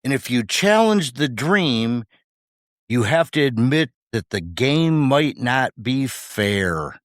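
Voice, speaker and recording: A man's voice: medium pitch, sing-song, slow, no room sound, clean studio sound. A man co-hosting a podcast, delivering scripted commentary in short, punchy rhetorical phrases with wry humour. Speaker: podcast co-host.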